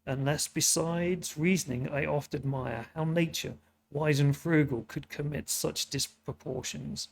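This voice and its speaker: Adam the wondering first man, read by a sniffly British man, hoarse, gravelly, slow and solemn. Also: on the high side, steady tone. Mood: earnest wonder. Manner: solemn, questioning verse.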